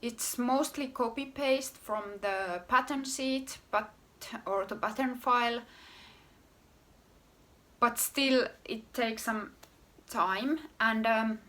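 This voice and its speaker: A woman from Finland with a Finnish accent, hosting her own podcast about her knitwear design work in an unhurried, casual way. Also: a little high, sharp tone. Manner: unhurried, pausing often with fillers and restarts. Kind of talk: casual solo podcast talk.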